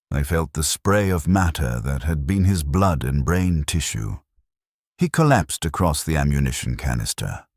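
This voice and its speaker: A man narrating a scripted first-person war story in short, measured sentences with a detached, solemn delivery. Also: rumbling low, darkish tone, dry recording, clean studio sound.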